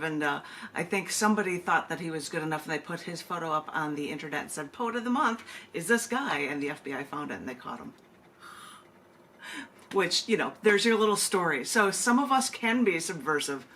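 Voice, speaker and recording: Woman, slightly low voice, poetry open mic host, conversational and rambling, faintly noisy video call audio. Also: a bit bright. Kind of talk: casual open mic chat and poetry reading. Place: virtual open mic over a video meeting.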